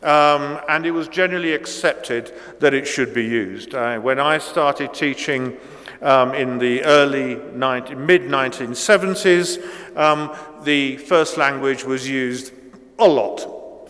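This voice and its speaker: A man's voice: mid-range voice, unhurried, a bit bright. A man in his sixties, a native Italian speaker from Italy, giving an academic keynote lecture with many ums and restarts. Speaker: guest lecturer. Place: lecture hall. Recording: long reverb in a lecture hall.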